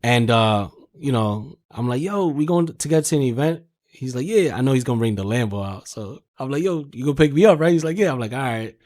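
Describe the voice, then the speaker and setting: A man's voice: medium pitch, fairly quick. Male podcast guest, car salesman type, mid-range voice, casual storyteller who acts out quoted dialogue in slang. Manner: animated anecdotes with acted-out quotes, slangy.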